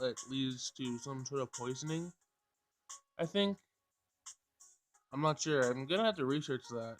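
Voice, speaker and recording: A man hosting a gaming video, casually thinking out loud in a tentative way as he plays and reads. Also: on the high side. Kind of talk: casual let's play commentary and read-aloud game text.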